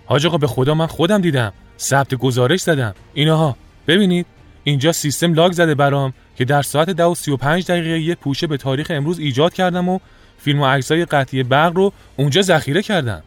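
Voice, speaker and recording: A man's voice: fairly high pitch, faint music behind, lightly acted. An actor playing a dutiful, anxious young male control-room operator, earnest and deferential. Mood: pleading, defensive. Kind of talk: audio drama acting.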